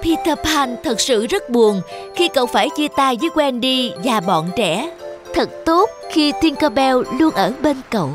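A woman's voice: sits high, animated, a little slow, over music. A woman reading scripted narration for a children's fairy tale.

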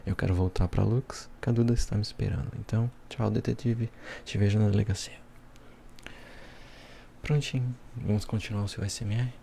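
A young man acts out a smug, playful devil with a Brazilian accent for an ASMR roleplay. The voice is low and gravelly, soft and close to the mic, with a teasing, casual delivery. There is faint noise behind it. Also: fairly even intonation, normal speed. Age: young adult. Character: smug, playful devil helping police as a consultant. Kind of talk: ASMR roleplay, soft talk mixed with whispering.

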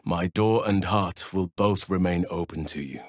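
Casting: a wise, big-hearted game druid; low register, measured archaic delivery, close dry recording. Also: male voice, dry recording. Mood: solemn, measured. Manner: measured, formal, archaic phrasing. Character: wise, solemn male druid healer, large and gentle-hearted. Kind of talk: video game voice acting.

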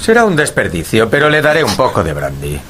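Bright-voiced, reproving gentleman. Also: on the low side, huge pitch swings, quick pace, slight background noise. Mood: dry. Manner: firm, reproachful. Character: reproving gentleman, the detective's firm companion. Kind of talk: radio drama acting.

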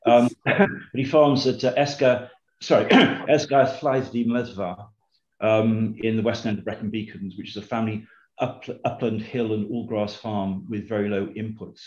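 An older man with a lowish voice introduces the next speaker, hesitating and restarting with ums. His voice comes over a video call and sounds dull and lo-fi, with a roomy echo around it. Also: fairly even intonation, moderate pace. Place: home room. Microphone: video call webcam.

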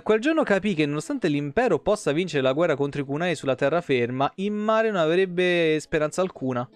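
Higher-voiced man streaming over soft music. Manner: informal, breaks off to comment. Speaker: video game streamer. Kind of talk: reading game lore aloud with casual live-stream asides. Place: indoor home streaming setup. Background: soft plucked guitar game music.

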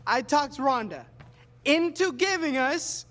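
A scheming young man in a video game. He is cocky and excitable, boasting one moment and wheedling the next in a whiny way. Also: extremely high pitch, takes it slowly, heavily acted. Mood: boastful.

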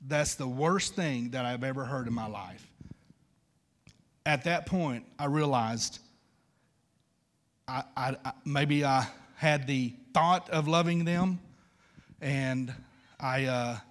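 A man's voice: mid-range voice, slow, pauses often, a bit bright. A man, preaching an informal, conversational sermon and repeating phrases for emphasis. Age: 50s. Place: large church stage at a wooden pulpit.

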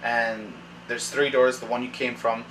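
A young man narrating as dungeon master at a kitchen table, reading room descriptions haltingly and thinking aloud. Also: mid-range voice, level tone, a bit bright, slight background noise, poor audio. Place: dim home kitchen at a table. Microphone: far camera.

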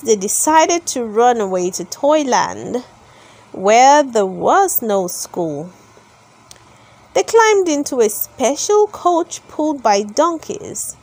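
Female storybook narrator, high voice, unhurried and expressive, reading aloud for children. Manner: expressive, dramatic delivery with emphasized words.

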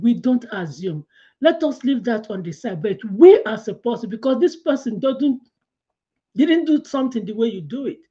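A middle-aged woman giving a devotional sermon from a small room at home. She tells her stories with lively hand gestures and laughs along the way. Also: on the high side, breathy edge, dull audio. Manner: animated storytelling with hand gestures, laughs through her anecdotes. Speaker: preacher.

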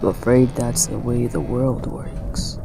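A man's voice: medium pitch, a little slow, music in the background. A man playing a commanding, roguish yet protective pirate captain with nautical slang in an audio roleplay. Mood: calm, guarded. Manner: authoritative, uses nautical slang. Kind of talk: dramatic audio roleplay acting. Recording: clear background ambience noise.

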